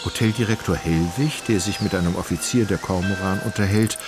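A wry literary narrator, played by a man telling a radio drama. His voice is fairly low and measured, laced with irony, and prominent music runs beneath it. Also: natural voice. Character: ironic, literary male narrator. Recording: loud music under the narration.

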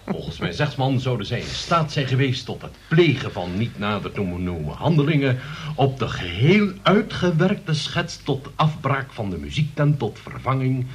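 An actor in a Dutch radio play playing a pompous, long-winded middle-aged uncle who moralizes about politics. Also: male voice, mid-range voice, very animated, normal speed, a little hiss or hum, natural voice. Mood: pompous, indignant.

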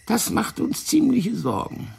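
A fat, scheming intelligence officer in a radio drama. The voice is very husky and full of gravelly creak, swooping wildly as he lectures with theatrical condescension. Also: very high-pitched, huge pitch swings, heavily acted. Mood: smug, explanatory. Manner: theatrical, condescending, drawn-out explaining. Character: fat, wily, self-righteous male French intelligence officer. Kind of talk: German radio drama acting.

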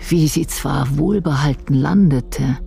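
An actress playing a regretful spymistress, musing in a hushed inner monologue in a radio drama. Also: female voice, deep voice, slow, creaky, soft background music, exaggerated voice. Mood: wondering, reflective. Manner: reflective, hushed. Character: regretful older spymistress recalling a lost agent.

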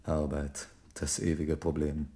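An actor playing a proud, irritable husband with frayed nerves in a German radio crime drama, gruff and defensive. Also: male voice, rumbling low, level tone, unhurried, dark tone. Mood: evasive, glum.

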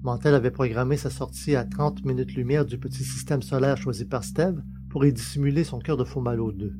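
A man's voice: medium pitch, fairly quick, noisy background. A man reading a science-fiction novel as a scripted, dramatic audiobook narration. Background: music.

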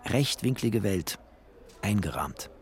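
A man's voice: on the low side, sing-song, slow pace, heavy background noise. An actor playing a bookish, wry, tender poor writer who narrates his life in clipped, poetic phrases in a radio play. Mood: observant, lyrical. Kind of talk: literary first-person radio play narration.

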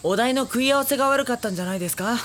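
A woman playing a dry, exasperated high school boy in an anime audio drama, with deadpan retorts. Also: rapid-fire, very noisy, lightly acted.

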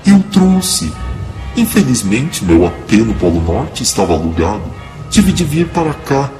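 A jolly, bumbling Santa Claus acted by a man with a Brazilian accent, in an audio drama. His theatrical voice swings wildly in pitch and crackles with creak, with faint music behind. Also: big pitch swings, unhurried, darkish tone. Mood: jolly, sheepish.

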